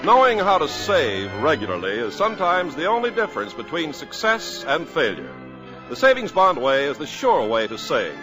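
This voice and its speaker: A man's voice: fairly high pitch, wildly swooping pitch, moderate pace, crowd noise. A man announcing on a 1950s radio program in an emphatic, upbeat manner. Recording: old radio broadcast with faint music.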